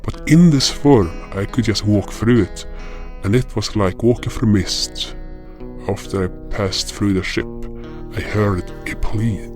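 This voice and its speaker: A man playing a solemn, dutiful guardian spirit, narrating his own fantasy tale in a measured first-person style. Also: fairly low pitch, darkish tone, natural voice. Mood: solemn, reflective. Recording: guitar music under the voice.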